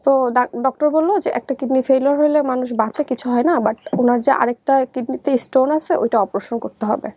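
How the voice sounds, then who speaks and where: High-pitched young Bangladeshi woman. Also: fairly quick, few pauses, a little mellow, dry recording. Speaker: guest telling her life story. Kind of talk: personal storytelling in conversation.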